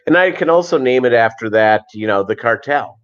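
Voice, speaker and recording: A middle-aged man chatting casually as a livestream host, in a rambling, halting way that often repeats phrases. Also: mid-range voice, expressive, fairly bright tone. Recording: dull lo-fi livestream audio.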